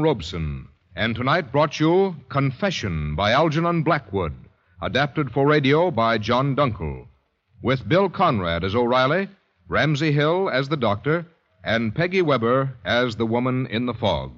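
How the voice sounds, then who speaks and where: Formal old-radio announcer man, lively intonation. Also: medium pitch. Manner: formal, deliberate. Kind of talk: 1940s radio announcing, scripted credits. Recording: dull 1940s radio recording.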